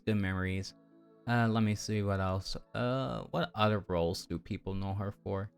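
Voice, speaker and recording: A man's voice: lowish voice, clean tone. A man streaming a game, chatting casually to viewers in a rambling, geeky, slangy way. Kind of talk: casual livestream chat to viewers while playing.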